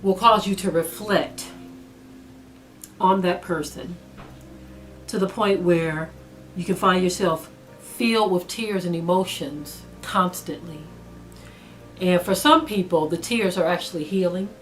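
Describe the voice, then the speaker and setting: Low-voiced woman teaching with soft music. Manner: measured, with frequent pauses and ums. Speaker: Bible teacher. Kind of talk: spiritual teaching talk.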